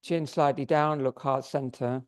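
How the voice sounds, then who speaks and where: A man's voice: medium pitch, measured pace, smooth. Man leading a yoga class, voice going. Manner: gives calm, step-by-step posture and breath cues. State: losing his voice. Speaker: yoga teacher. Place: yoga studio.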